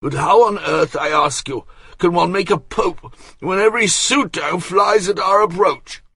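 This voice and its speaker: A man's voice: sits high, unhurried, pushed and bright, breathy edge, dry recording, voice pushed up, full character voice. A man voicing a fat, fussy older nobleman with a head cold, groaning and complaining. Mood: despairing.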